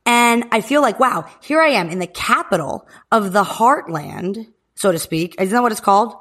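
A woman co-hosting a podcast from home, joking and riffing through casual banter with quick asides. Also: mid-range voice, expressive, a bit bright. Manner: jokey, riffing, rapid asides. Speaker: podcast co-host. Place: home in Texas.

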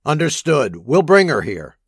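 A man acting a henchman in a crime audio drama, fairly high for a man and recorded dry. He is brisk and businesslike, ready to follow orders. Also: very animated, gravelly, slightly rough, no echo, exaggerated voice. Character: compliant male criminal henchman taking orders.

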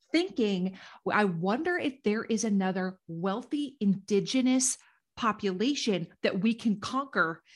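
A woman hosting a podcast, telling a history story in an enthusiastic, gushing, conversational way, with lots of affirming murmurs. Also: medium pitch.

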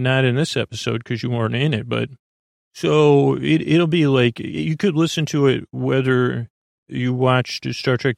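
Rambling, creaky-voiced man hosting a bedtime podcast. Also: no echo, clean studio sound. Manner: meandering, halting, trailing off with repeated false starts; reassuring, low-pressure. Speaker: podcast host.

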